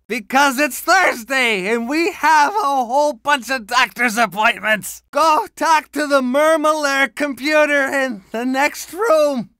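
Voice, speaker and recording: High-pitched doddering old cartoon superhero. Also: male voice, bright, forceful tone, no echo, studio quality, full character voice. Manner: doddering, befuddled, exclamatory. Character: doddering, forgetful, cranky old retired superhero. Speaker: video game voice actor. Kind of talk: cartoon video game character acting.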